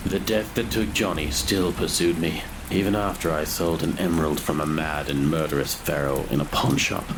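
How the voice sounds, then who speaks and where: A man's voice: very deep voice, fairly even intonation, normal speed, heavy background noise. A man playing a guilt-ridden grave robber who narrates his doom in a brooding, theatrical way, in a gothic horror audio drama. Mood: brooding, remorseful.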